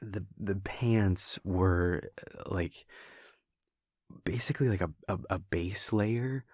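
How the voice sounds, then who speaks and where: A young man playing a laid-back, wry vampire prince boyfriend in an intimate audio roleplay, telling a story in a hushed, halting, amused way. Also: low voice, no echo, studio quality. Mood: sheepish, amused. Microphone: close, dry studio microphone.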